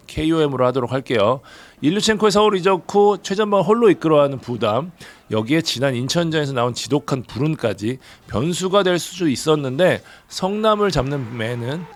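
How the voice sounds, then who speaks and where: Fast-talking hoarse male sports host. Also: a little high. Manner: brisk, rapid delivery. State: hoarse from a cold. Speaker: host of a football review show. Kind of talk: sports news review.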